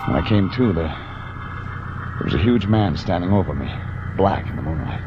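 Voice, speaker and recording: An actor playing a wry, terse, deadpan private detective in 1940s radio drama style, on an old recording with vehicle noise. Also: male voice, deep voice, moderate pace, highs cut off. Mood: grim narration. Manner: terse, deadpan, hard-boiled. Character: wry hard-boiled male private detective from Los Angeles.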